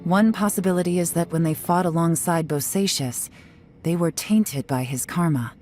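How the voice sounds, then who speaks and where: An actress playing a composed, shrewd woman in a video game, speaking in a measured, cool, deliberate way. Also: lowish voice, a little mellow, faint music behind, lightly acted. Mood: grave, reflective. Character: composed, shrewd adult woman investigating her clan's past.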